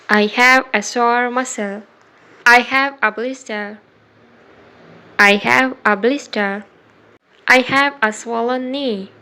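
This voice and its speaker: A woman reading short scripted example sentences as a language drill, in a deliberate, instructional way with evenly spaced words. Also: fairly high pitch, sharp tone, clear, resonant tone. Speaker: English vocabulary teacher.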